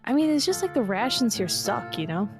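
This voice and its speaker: A woman's voice: a little high, big pitch swings, a little fast, over music. A woman playing a tabletop role-play game, improvising in casual, conversational speech.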